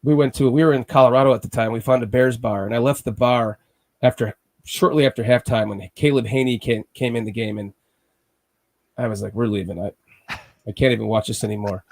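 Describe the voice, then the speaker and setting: A man with a cold hosts a laid-back sports podcast, his voice a touch raspy. He talks in rambling runs, often restarting his sentences and fretting over games. His pitch sits at a middling level for a man. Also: fairly even intonation, fairly quick, darkish tone. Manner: rambling, restarts often, nervous fan talk. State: has a cold, slightly raspy. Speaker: podcast host. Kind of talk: casual sports podcast chat.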